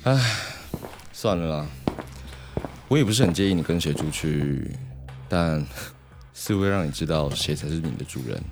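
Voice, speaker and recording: A man's voice: a bass voice, lively intonation. A man with a Taiwanese Mandarin accent playing a domineering, taunting master in a radio drama. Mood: cold, controlling. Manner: slow, commanding, mocking. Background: music.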